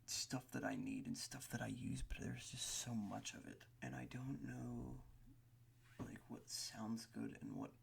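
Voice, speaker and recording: A man doing soft, half-whispered ASMR close to the microphone, rambling in a hesitant, self-deprecating way with a breathy, gravelly voice. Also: mid-range voice, wildly swooping pitch, unhurried. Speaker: ASMR creator. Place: bedroom at a desk.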